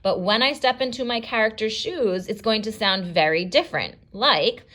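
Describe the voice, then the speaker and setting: A woman teacher reading a story aloud and explaining as she goes, in an expressive, instructional style. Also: medium pitch, moderate pace.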